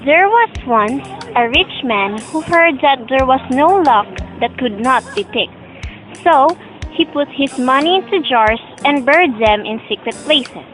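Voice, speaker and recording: A woman's voice: high voice, expressive, unhurried, a bit bright, faint music behind, low-quality recording. A young woman reading scripted storybook narration for an amateur student audio drama. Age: young adult.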